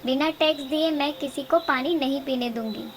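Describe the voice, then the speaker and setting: A greedy female fox from a children's cartoon, played by a woman, with a high-pitched voice. She is bossy and insistent, laying down demands as orders. Faint noise sits behind the voice. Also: normal speed, close to an everyday voice. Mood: bossy, demanding. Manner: commanding, insistent. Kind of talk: children's cartoon story character voice.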